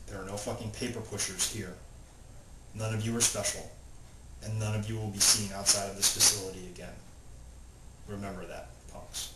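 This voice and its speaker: A man with a fairly low voice speaks as an author presenting and reading his own fiction. He keeps to his own voice without acting out characters. The recording is roomy and distant from the mic, with loud noise behind him. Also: flat delivery, normal speed, pauses often. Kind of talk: informal talk and plain prose reading aloud.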